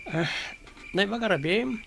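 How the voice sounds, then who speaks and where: An actor playing an eager young suitor in a radio drama. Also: male voice, sits high, animated, unhurried, vocal fry, fairly bright tone, soft background music, exaggerated voice. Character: eager young suitor, apologetic when scolded. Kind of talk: radio soap acting.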